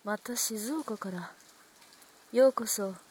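Woman acting a husky, proud young courtesan. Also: a little high, slow, a little mellow. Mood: coy, composed. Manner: old-fashioned courtesan speech. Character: young courtesan, proud, wronged, sharp-tongued. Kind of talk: radio drama acting.

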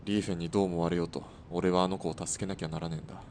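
A man playing a deep, resolute sniper. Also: fairly even intonation, very fast, darkish tone, close to an everyday voice. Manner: terse, grim. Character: resolute, gruff male sniper in Taisho-era Japan. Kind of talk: radio drama acting. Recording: faint rumbling background noise.

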